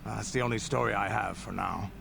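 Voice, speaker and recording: An actor playing a proud, gruff veteran alien warrior in a video game, telling war stories in a gravelly, deliberate, boastful manner. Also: male voice, fairly low pitch. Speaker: game character. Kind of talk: dramatic scripted video game dialogue. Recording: game audio under steady noise.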